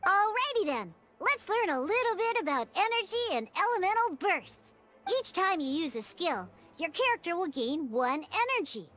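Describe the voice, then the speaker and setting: A woman playing a small, bubbly, childlike girl companion in a video game, with a squeaky voice and a perky, encouraging way of explaining. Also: big pitch swings, moderate pace, fairly bright tone, heavily acted. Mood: excited, encouraging. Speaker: game tutorial guide. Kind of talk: animated video game character tutorial dialogue.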